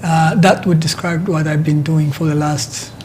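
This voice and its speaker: A middle-aged man from Ethiopia with an Ethiopian accent, answering interview questions conversationally in a halting way, repeating words as he explains. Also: fairly high pitch, flat delivery, a little mellow, a little hiss or hum. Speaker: interview guest, activist.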